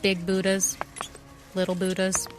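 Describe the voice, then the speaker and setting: A woman acting as a young wisecracking sidekick in a pulp radio adventure. She is flippant and sardonic at a middle pitch, with clear music behind her. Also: monotone, a little slow, natural voice. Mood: breezy, sardonic.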